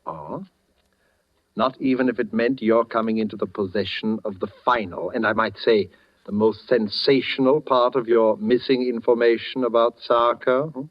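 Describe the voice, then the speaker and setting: A man's voice: medium pitch, moderate pace, lightly acted. Guy doing a silky overpolite crook from a 40s radio thriller, wordy, scheming, muffled old recording. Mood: coaxing, smug. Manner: elaborately polite, wordy, purring questions. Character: suave, scheming middleman with courtly, wheedling manner. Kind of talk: 1940s radio thriller acting. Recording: dull vintage 1940s radio recording.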